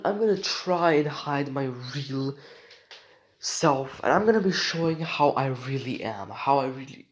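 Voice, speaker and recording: Sick teen boy vlogging, rambly and jokey, lots of slang, restarts a lot, medium pitch. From Romania. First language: Romanian. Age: teens. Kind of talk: casual rambling monologue.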